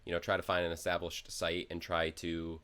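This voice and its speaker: A man with a deep, low voice hosting a casual podcast chat. He talks with open enthusiasm and keeps the conversation moving with questions to his guest. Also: completely flat delivery, brisk, fairly bright tone, smooth. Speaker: podcast host.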